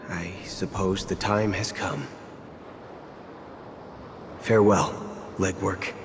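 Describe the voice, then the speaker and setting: A man playing a calm, gentle space traveller speaking as a fond friend in a video game cutscene. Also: on the low side, long reverb tail, slight background noise.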